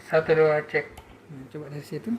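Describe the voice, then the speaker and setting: A low-voiced woman walks someone through a device. She speaks informally, in short practical instructions, one step at a time. Clear background noise sits behind her. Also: flat delivery, fairly quick. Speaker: walkie-talkie seller demonstrating to a customer. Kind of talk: informal hands-on product demonstration. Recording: noisy on-location sound, clear background noise.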